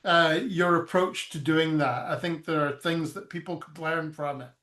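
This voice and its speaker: A man's voice: on the high side, fairly even intonation, moderate pace, bright, forceful tone. A man hosting an interview, asking questions hesitantly with many filler sounds. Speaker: interviewer, host. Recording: echoey room sound.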